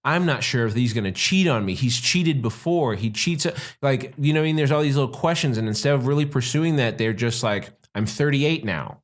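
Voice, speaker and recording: A man's voice: mid-range voice, lively intonation, a little fast, hardly any pauses. A man, a stand-up comic, talking casually on a podcast, explaining his views at length and acting out what other people might be thinking. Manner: earnest, explains at length, acts out imagined people's thoughts.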